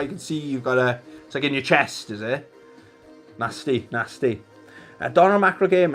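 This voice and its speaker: A man with a Welsh accent hosting a casual gaming live stream, chatting in a rambling, jokey way to his viewers. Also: mid-range voice. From Wales.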